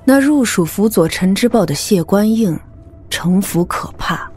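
An actress playing a composed, probing martial arts elder in an audio drama, speaking gravely and with measure. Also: female voice, mid-range voice, expressive, unhurried, a little mellow, faint music behind.

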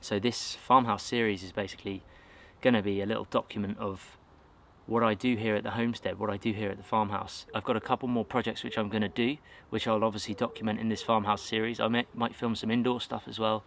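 A man in his thirties with an English accent chats to the camera from a garden. His voice sits fairly low, and he rambles easily, full of fillers and little self-corrections. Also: level tone, quick pace, vocal fry, a little hiss or hum. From England. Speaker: outdoors vlogger. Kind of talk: casual talk to camera. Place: outdoors in a garden by a wooden shed.

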